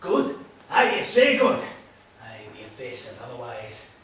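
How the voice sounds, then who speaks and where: A man's voice: medium pitch, wildly swooping pitch, measured pace, distant mic. Man acting a rage-filled Scotsman in an echoey room. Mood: suspicious, gruff. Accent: Scottish. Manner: combative, sarcastic, prone to shouting. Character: rage-filled, blunt Scotsman, quick to take offence. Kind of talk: comedy sketch acting. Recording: very echoey room, off mic, faint noise.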